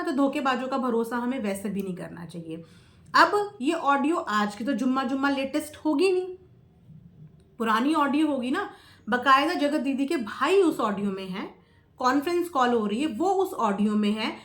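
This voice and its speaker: A woman's voice: a little high, fairly bright tone. A woman giving opinionated, casual commentary to viewers, emphatic, repeating phrases and asking rhetorical questions. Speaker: reaction video creator. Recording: echoey, roomy sound.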